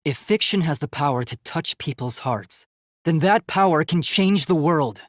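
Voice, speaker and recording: A man voicing an earnest, determined and idealistic young male robot in a dramatic video game, with a youthful, light, slightly husky voice and a formal, emphatic delivery. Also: on the high side, animated, moderate pace, dry recording, studio quality, close to an everyday voice. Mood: solemn, resolved.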